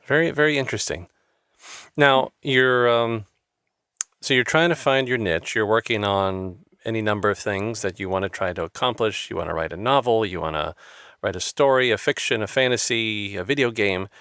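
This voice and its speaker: A man's voice: on the low side, moderate pace, pushed and bright, smooth, no room sound, clean studio sound. A man hosting an interview, asking long rambling questions and affirming his guest. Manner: affirming, with filler-laden questions. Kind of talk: casual interview.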